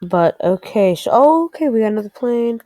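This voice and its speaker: A woman's voice: fairly high pitch, expressive, a little fast, mellow, dry recording. A woman giving a casual game walkthrough, thinking aloud and a little hesitant as she explains. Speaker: presenter of a game tutorial. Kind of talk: casual screen-recorded game walkthrough commentary.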